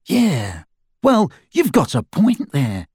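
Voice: mid-range voice, big pitch swings, normal speed, darkish tone, slightly rough, dry recording, clean studio sound, pitched above the performer's own voice. A performer voicing a gloomy, wary man on the run who talks heavily and pessimistically, in an audiobook. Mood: resigned. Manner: heavy, pessimistic.

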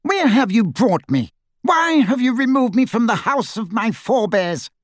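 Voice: medium pitch, big pitch swings, moderate pace, no room sound, studio quality, voice pushed up. A performer voicing a cold, haughty old wizard in a portrait, with pompous, sneering, old-fashioned speech, in an audiobook. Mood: haughty, displeased.

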